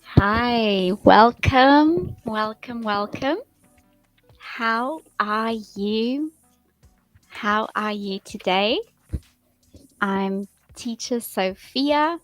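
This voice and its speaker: A woman from England, a teacher hosting a live stream, talking slowly and repeating phrases for learners. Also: on the high side, no echo, faint music behind, rough recording. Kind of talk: live-stream chat with viewers.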